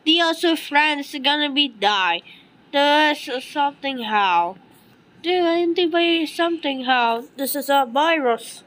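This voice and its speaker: A woman voicing dialogue for an amateur animated story in a dramatic, exclamatory way. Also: high voice, a little slow, a little hiss or hum, low-quality recording.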